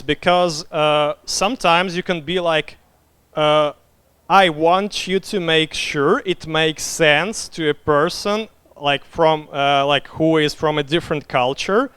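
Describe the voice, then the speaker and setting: Native Russian speaker, young man, conference Q&A, loose and filler-heavy, clear voice, dry close sound. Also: on the high side, takes it slowly, fairly bright tone, no room sound. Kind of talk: Q&A after a talk.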